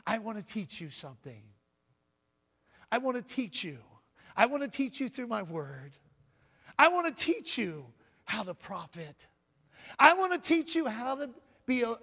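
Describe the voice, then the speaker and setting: A man preaching a sermon with a loud voice, often calling out "Amen?" and swinging from booming to soft. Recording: long hall reverb.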